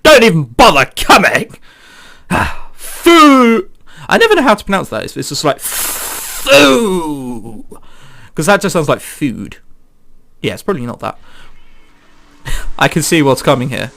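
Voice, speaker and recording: A man commentating on a game and reading its text aloud in a casual, joking and animated way. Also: a little high, a little slow, gravelly, long pauses. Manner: animated, joking, frequent swearing and exclamations. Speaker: let's play host.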